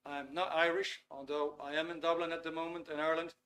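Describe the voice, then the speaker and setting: A man's voice: fairly high pitch, level tone, fast, a bit bright. Chatty Dutch-accented man hosting a webinar. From Netherlands. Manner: chatty, filler-heavy, reads chat messages aloud. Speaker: webinar host. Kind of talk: live webinar lecture. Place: small warm studio under lights.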